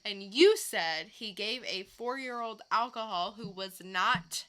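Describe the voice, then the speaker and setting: A woman's voice: medium pitch, expressive, slow pace, bright, forceful tone. A woman co-hosting a podcast, recounting odd stories in casual chat with her partner. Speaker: podcast co-host.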